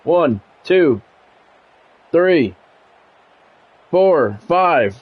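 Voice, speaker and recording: A man's voice: a little high, sing-song, very slow, breathy edge, phone-quality sound. A man doing radio-style play-by-play of a football video game on a livestream, with swearing and greetings to viewers.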